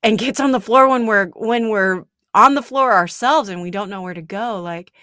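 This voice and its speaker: A woman's voice: mid-range voice, moderate pace, bright, forceful tone, dry recording, clean studio sound. A woman speaking earnestly and emphatically in a casual faith conversation, building her points with repetition.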